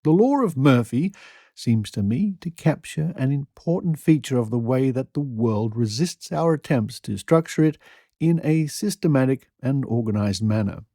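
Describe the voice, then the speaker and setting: A man hosting a podcast, reading articles aloud with measured, deliberate phrasing. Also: medium pitch, lively intonation, mellow, dry recording, clean studio sound. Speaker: podcast host.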